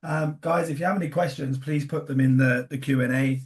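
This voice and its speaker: A man's voice: mid-range voice, fairly even intonation, fairly quick. A man hosting an online webinar informally, his voice affected by a cold. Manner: throat-clearing, apologetic. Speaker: webinar host.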